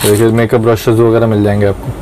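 A man in his thirties, a wholesaler in a shop storeroom, pitching his goods persuasively and counting off his points. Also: completely flat delivery, fast, crowd noise, poor audio. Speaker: cosmetics wholesaler.

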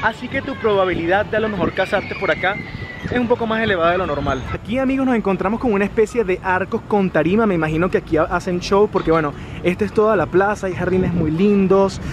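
A man's voice: high voice, fast. A young adult man from Venezuela with a Venezuelan accent, narrating emphatically and casually as a travel vlogger. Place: outdoor town plaza. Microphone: camera at arm's length. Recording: noisy outdoor street vlog.

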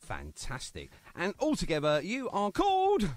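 A man's voice: high-pitched, huge pitch swings, a little slow. A man hosting a radio show, chatty and jokey, making puns as he interviews a guest. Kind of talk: casual radio chat and interview.

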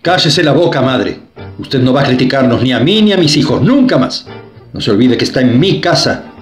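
A man's voice: mid-range voice, lively intonation, moderate pace, echoey room, music in the background, close to an everyday voice. A man playing a defiant middle-aged son. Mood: resolute. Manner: firm, earnest, impassioned. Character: middle-aged son defying his mother, resolute.

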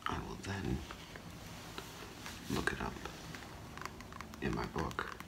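A middle-aged man doing a whispered ASMR role play as a gemologist, speaking in a hesitant, halting, softly reassuring way. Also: very deep voice, sing-song, creaky, background noise. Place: small indoor room with curtain.

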